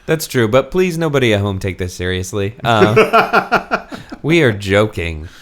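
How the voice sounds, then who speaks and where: A man hosting a casual podcast, chatting with joking, deadpan asides. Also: lowish voice, measured pace. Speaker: podcast host. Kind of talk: casual chat.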